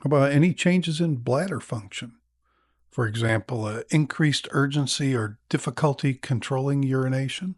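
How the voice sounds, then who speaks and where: A man acts out a male neurologist in a practice consultation. His mid-range voice is calm and attentive, explaining things in measured phrases with small hesitations. The sound is dry, with no room to it. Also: medium pitch, no echo, lightly acted. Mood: attentive, sympathetic. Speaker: narrator and actor in a listening exam practice. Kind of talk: acted medical consultation for exam practice.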